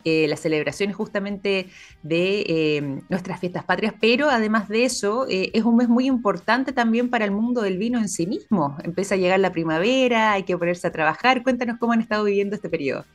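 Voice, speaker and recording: A woman with a Chilean accent hosting a relaxed morning interview, chatty and full of filler pauses. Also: medium pitch, soft background music. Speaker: host.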